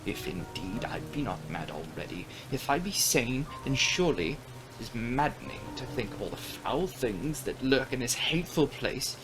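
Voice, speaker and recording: A man giving a theatrical, dramatic audiobook reading of a gothic novel, sometimes dropping into hushed, breathy passages. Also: mid-range voice, very animated, moderate pace, poor audio. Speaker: audiobook reader. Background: music under the reading.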